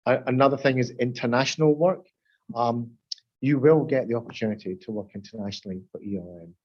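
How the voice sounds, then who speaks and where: A man's voice: mid-range voice, brisk, muffled recording. A man with a Glaswegian Scottish accent, hosting a webinar panel in a casual, chatty way with loose restarts, heard over a video call. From Glasgow, Scotland. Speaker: webinar host.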